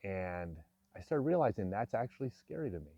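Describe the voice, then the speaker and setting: A man in his fifties speaks frankly and reflectively to his viewers, mixing self-deprecating asides with earnest admissions. His voice sits low, close and dry, with a slightly dull, muffled sound. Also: very animated, brisk, dark tone, no room sound. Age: 50s. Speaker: vlogger. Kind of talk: candid personal talk to camera.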